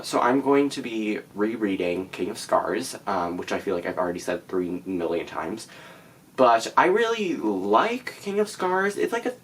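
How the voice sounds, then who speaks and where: A man's voice: on the low side, a bit bright, a little hiss or hum, low-quality recording. A teenage boy with a stuffy nose from a cold, chatting casually to camera about his reading plans with many fillers. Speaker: book vlogger.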